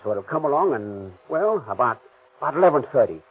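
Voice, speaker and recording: A man's voice: medium pitch, very animated, brisk, husky. An actor playing a ruthless, bossy gangster in 1930s radio crime acting, talking American tough-guy slang. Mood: cool, scheming. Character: ruthless, cocky gangster planning and bossing a robbery. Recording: scratchy, noisy, narrow-band 1930s radio.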